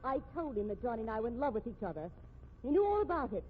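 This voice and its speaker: An actress playing a haughty, sharp-tongued society wife in a 1950s radio drama, grand and scornful. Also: female voice, a little high, big pitch swings, very fast, slight background noise. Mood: defiant. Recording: tinny, band-limited old radio recording.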